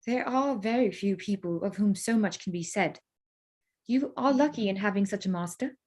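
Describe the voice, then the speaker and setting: An actress plays a young gentlewoman in a period drama. Her voice is medium in pitch. She is polite and poised, holding a careful reserve. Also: normal speed, close to an everyday voice. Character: poised, guarded young gentlewoman, Lizzie Bennet. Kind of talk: staged reading of period drama.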